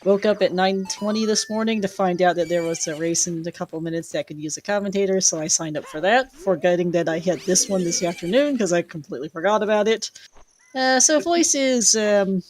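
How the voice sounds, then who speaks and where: A woman commentating a live game race in a casual chat, her voice hoarse and tired, talking in loose rambling sentences full of fillers. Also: on the low side, brisk, a little mellow, a little hiss or hum. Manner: rambling, many fillers and restarts.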